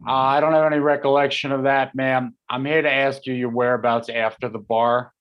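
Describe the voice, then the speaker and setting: A man's voice: mid-range voice, flat delivery, brisk, smooth, muffled recording, natural voice. An actor playing an officious, hostile policeman with a clipped formal manner, in a staged play reading. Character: officious, hostile small-town policeman grieving colleagues.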